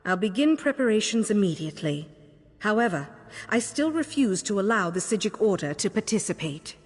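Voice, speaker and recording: An actress playing a proud, formal scholar and guardian in a fantasy game, speaking in a measured, dignified way. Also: female voice, medium pitch, very animated, breathy edge. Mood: resolved, stern. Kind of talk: fantasy video game voice acting. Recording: long hall reverb, music behind.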